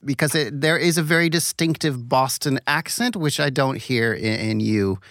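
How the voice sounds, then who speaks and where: A man hosting a podcast interview, measured in tone and a little halting when asking questions. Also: medium pitch, a bit bright, no echo, studio quality. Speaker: podcast host.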